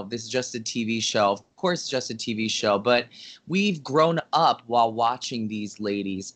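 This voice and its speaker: A man with a slightly raspy voice guests on a casual podcast. He dishes gossip in an animated way, his pitch swinging widely, and calls the host "babe.". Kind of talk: casual chat.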